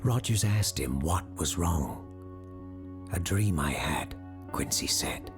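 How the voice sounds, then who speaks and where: A man playing a grave doctor who hosts an occult radio show, telling stories in a measured, theatrical, formal way with a gravelly, breathy voice. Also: a bass voice, lively intonation, music in the background, full character voice. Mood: brooding, suspenseful. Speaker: radio host. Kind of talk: dramatic scripted storytelling in a radio drama.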